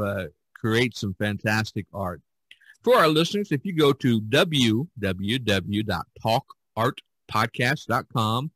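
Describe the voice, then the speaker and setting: A man hosting a casual podcast, speaking in a halting, deliberate way. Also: medium pitch, fairly bright tone, no room sound. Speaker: podcast host.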